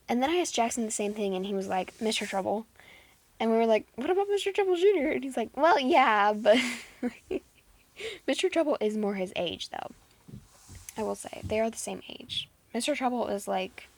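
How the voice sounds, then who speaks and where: A teenage girl vlogging in a casual, chatty way, giggling and saying "like" a lot, her voice going a bit hoarse. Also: fairly high pitch, no echo. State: losing her voice. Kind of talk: casual chat to camera.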